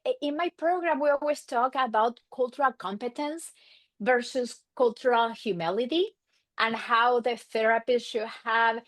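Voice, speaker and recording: A middle-aged woman with an Argentine Spanish accent talks as an interview guest. Her voice sits high and swings widely in pitch as she casually tells stories and voices bits of dialogue. The sound is close and dry. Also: dry recording. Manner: animated, acts out quoted exchanges. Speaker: guest, marriage and family therapist.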